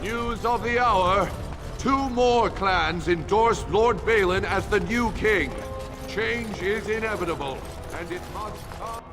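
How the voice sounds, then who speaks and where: High, slow-calling town crier. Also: male voice, music in the background. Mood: proclaiming. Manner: loud, declamatory proclaiming. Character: male town crier proclaiming political news. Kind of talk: video game crier announcement.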